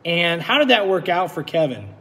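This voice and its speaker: Animated male teacher in an echoey room. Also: a little high, normal speed. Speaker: teacher reading aloud. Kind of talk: animated read-aloud and lesson for children. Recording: echoey room with long reverb.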